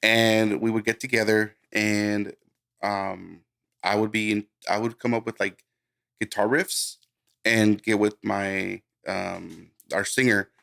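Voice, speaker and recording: A man from Guatemala who grew up in the United States, giving a casual interview as a music producer, telling his story informally and haltingly. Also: fairly low pitch, fairly even intonation, gravelly, fairly bright tone. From born in Guatemala, raised in the United States. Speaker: music producer, podcast guest.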